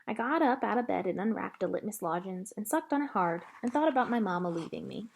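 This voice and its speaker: A woman reads a children's novel aloud as narrator. Her delivery is expressive, with lively movement in pitch over a middle register. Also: fast talker, vocal fry, slightly rough.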